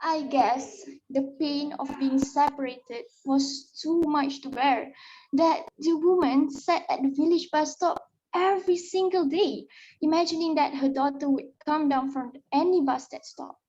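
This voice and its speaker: A woman performs a storyteller of sad ghost tales in a high voice. The dramatic reading is emotive and a little halting. The audio sounds muffled and low in fidelity. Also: steady tone, a little mellow. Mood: sorrowful.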